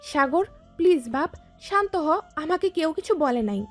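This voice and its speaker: A woman's voice: sits high, animated, unhurried. A woman playing a caring but firm mother in an audio story, coaxing and instructing. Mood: soothing. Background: background music.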